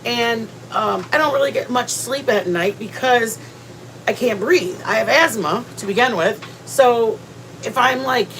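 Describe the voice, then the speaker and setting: A woman's voice: on the high side, a little slow, fairly bright tone, a little hiss or hum, rough recording. A woman hosting a livestream from home, sounding congested and rambling chattily with many restarts. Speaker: livestream host. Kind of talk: casual livestream chat. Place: at home, near the kitchen.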